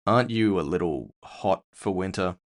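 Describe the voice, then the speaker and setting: A man's voice: sits low, lively intonation, moderate pace. A man playing a weary, dry-witted investigator in a cyberpunk audio story, with a sardonic manner. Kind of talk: first-person audio fiction dialogue.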